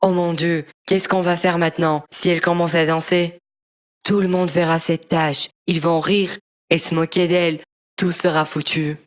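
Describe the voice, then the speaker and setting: A woman's voice: fairly low pitch, little pitch movement, normal speed, close to an everyday voice. A woman voicing a blunt, sceptical young boy train in a children's cartoon, given to grumbling. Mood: worried. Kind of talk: children's cartoon dub acting.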